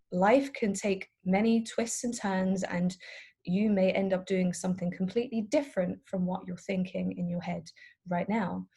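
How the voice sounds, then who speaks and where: A woman's voice: medium pitch, dark tone, husky. A woman of about thirty from the Netherlands, a native Dutch speaker, giving an informal career talk to young people in a chatty, explanatory way as a personal trainer. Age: around 30. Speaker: personal trainer and business owner.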